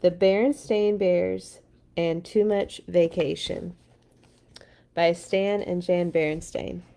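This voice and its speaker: A woman's voice: on the low side, steady tone, slow, gravelly, mellow. A woman reading a picture book aloud to children as a storyteller, adding chatty asides of her own. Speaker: children's read-along storyteller.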